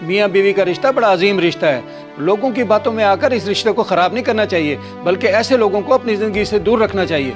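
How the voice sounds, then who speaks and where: A man's voice: high voice, lively intonation, fast, music in the background. A man playing a paternal, money-minded father who counsels his grown daughter in a moral drama. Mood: advising. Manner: paternal, advising. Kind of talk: acted moral-story drama dialogue.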